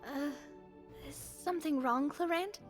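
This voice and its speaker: An actress playing an eager, theatrical young woman adventurer in an anime-style video game, expressive and earnest. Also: high-pitched, unhurried, faint music behind. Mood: concerned.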